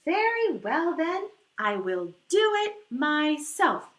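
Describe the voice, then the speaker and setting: A woman storyteller plays a plucky, hardworking storybook hen with a high, bright voice. The phrases are singsong and drawn out, in an echoey room. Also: big pitch swings, slow pace, heavily acted. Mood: resolute. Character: industrious, resolute little red mother hen.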